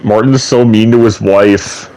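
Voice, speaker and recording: A man's voice: fairly low pitch, steady tone, unhurried, mellow, low-quality recording. A young man host giving rambling, chatty game commentary with trivia asides, his voice a little off from a slight head cold. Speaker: gaming channel host. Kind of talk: casual gameplay commentary.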